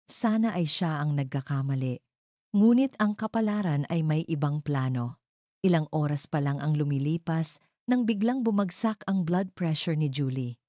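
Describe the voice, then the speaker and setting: A woman's voice: deep voice, mellow, no echo, studio quality. A woman reading dramatic scripted narration for an audio drama.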